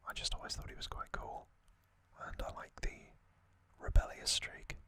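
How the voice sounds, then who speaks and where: Male, ASMR whisper, crisp bright hiss, casual fan commentary, hushed and unhurried, long pauses. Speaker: ASMR video host.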